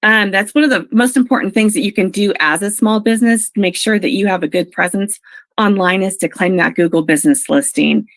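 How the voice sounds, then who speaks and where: A woman presenting an informational webinar in an instructive, encouraging way, her voice froggy and gravelly from allergies. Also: medium pitch, a little fast, dry recording. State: froggy from allergies, clearing throat.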